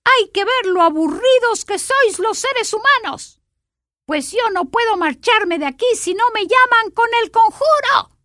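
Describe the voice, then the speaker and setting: A woman voicing a tiny, grumpy male dream-eating imp in a storybook, with a thin, high, squeaky voice and impatient, sing-song grumbling. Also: animated, a little slow, pushed and bright, no room sound, clean studio sound, full character voice. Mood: bored. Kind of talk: storybook character voice.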